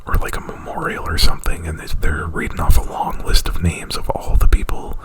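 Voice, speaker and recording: A man whispering an ASMR retelling of a movie plot right up at the mic, in a halting, rambling, informal way. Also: rumbling low, completely flat delivery. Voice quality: breathy whisper. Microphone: very close to the mic.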